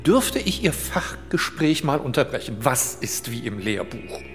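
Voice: medium pitch, big pitch swings, a little slow. An actor playing a fussy, theatrical, skittish male castle keeper in a German children's audio drama. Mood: baffled, uneasy. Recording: reverberant hall, music bed.

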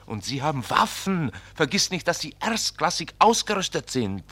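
A man acting in a radio drama as a shrewd confidant who prods and questions, wry and emphatic, with a husky voice. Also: a little high, big pitch swings, moderate pace, pushed and bright, heavily acted. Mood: insistent, persuasive. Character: shrewd, prodding male confidant questioning a storyteller.

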